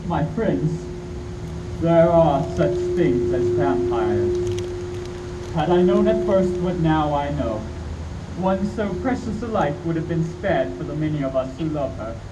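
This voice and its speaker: A man playing a grave elderly professor who leads vampire hunters, speaking in deliberate speeches in radio drama style. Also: fairly high pitch, expressive, lo-fi sound. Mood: solemn warning. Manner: declamatory, deliberate speech-making. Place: community theatre live performance. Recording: noisy, distant stage mic.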